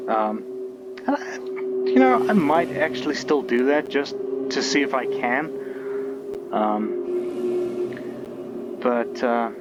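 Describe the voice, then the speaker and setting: A man's voice: medium pitch, highs cut off. Man commenting on a game over noise. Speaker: game reviewer playing and commenting. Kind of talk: casual let's play commentary.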